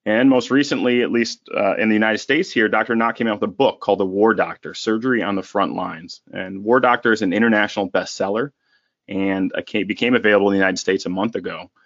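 Low-voiced admiring male podcast host. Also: fast, a bit bright, no room sound. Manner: admiring, hesitant with uhs, reading a bio. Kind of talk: interview intro and questions. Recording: dull, dry sound.

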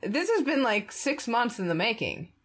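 A woman's voice: fairly high pitch, big pitch swings, normal speed. A woman hosting a podcast in a casual, conversational style, chuckling often as she talks. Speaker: podcast host.

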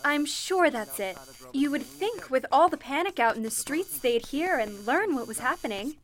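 A woman playing a steady, comforting telephone operator in an old-fashioned radio drama, speaking in a matter-of-fact way. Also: high voice, normal speed. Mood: reassuring. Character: reassuring, steady woman switchboard operator colleague. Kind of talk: 1940s radio drama acting.

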